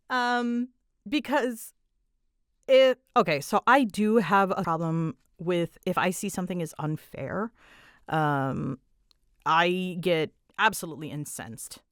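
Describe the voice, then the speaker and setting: A woman's voice: lowish voice, clean tone, no room sound, clean studio sound. A woman host rambling casually to her audience, with a bouncy, dramatic, giggly and self-deprecating way of talking. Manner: animated, bouncy, dramatic storyteller delivery. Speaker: voice actress and host answering audience questions.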